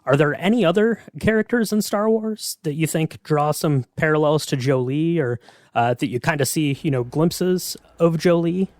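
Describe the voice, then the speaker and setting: A man with a fairly high voice talks as a podcast host. His delivery is casual and conversational, as if working through his thoughts aloud. The sound is dry, with very little room in it. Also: normal speed, a little mellow, no echo.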